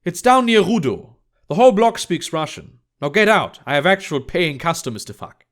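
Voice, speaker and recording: Brash audiobook sex worker, female male reader, higher-pitched, curt, irritated and dismissive. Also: animated, normal speed, fairly bright tone. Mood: brusque. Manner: blunt, dismissive. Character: brash, irritated adult woman sex worker, blunt and scornful. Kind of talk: audiobook character dialogue.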